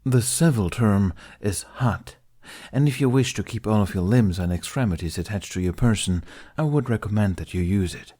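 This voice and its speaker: A man voicing a seasoned, dryly formal Jedi master with a measured manner in an audiobook. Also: on the low side, animated, warm tone. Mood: stern, dry. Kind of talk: audiobook character dialogue.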